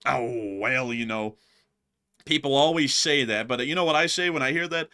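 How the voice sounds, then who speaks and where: Young man, bright forceful voice, animated livestream co-host, laughs a lot. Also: medium pitch, normal speed. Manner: animated, laughs often, acts out quotes loudly; many fillers like "like" and "I mean". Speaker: co-host of a news channel. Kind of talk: casual livestream chat reading donations.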